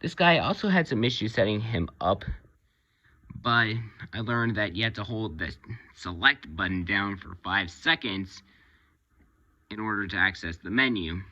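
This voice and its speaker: Bright-voiced man, slow halting review, dull recording. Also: lowish voice, expressive. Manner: halting, rambling delivery with pauses mid-sentence. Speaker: hobbyist video reviewer. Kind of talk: unscripted casual product review to camera.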